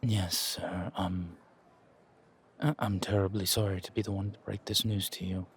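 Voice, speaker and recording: A man playing a nervously polite, dutiful low-ranking driver in an audio roleplay, speaking hesitantly and with formal deference. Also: little pitch movement, moderate pace, breathy edge, full character voice. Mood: grave, apologetic.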